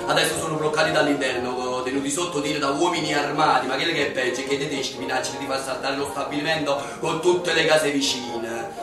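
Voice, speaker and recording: Actor as a resigned sergeant, brusque, radio play with music behind. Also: male voice, on the high side, steady tone, fast talker, few pauses, close to an everyday voice. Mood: grave. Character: weary Neapolitan police sergeant, resigned. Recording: roomy distant stage sound with music.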